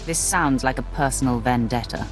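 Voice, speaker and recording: A woman's voice: rumbling low, normal speed, echoey room, music in the background. An actress playing an arrogant, ruthless female Sith warrior in a video game, speaking in a haughty, curt way. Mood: cold, haughty. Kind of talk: dramatic scripted game dialogue.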